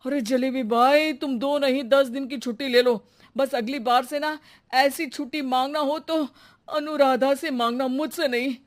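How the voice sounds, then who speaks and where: A woman voicing a fed-up, dramatic householder who complains in exasperation, in a comic animated story. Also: sits high, moderate pace, natural voice. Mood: exasperated.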